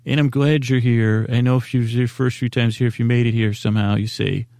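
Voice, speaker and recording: A man hosting a sleep podcast, rambling in a meandering, halting way with a goofy, self-deprecating streak. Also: mid-range voice, fairly even intonation, gravelly, mellow, no room sound, studio quality. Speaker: podcast host.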